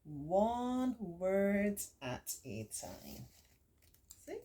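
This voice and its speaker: A woman reading a picture book aloud to children, with encouraging, simply explained asides and expressive, drawn-out emphasis. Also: medium pitch. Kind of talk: read-aloud story time with chatty asides to children.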